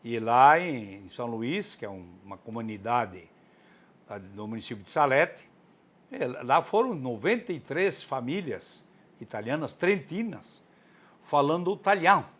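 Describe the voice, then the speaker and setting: A man's voice: medium pitch, big pitch swings, measured pace, a bit bright. Earnest older Brazilian man. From southern Brazil. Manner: earnest, enthusiastic; frequent tag "né". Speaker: interviewee, author. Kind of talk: interview answer.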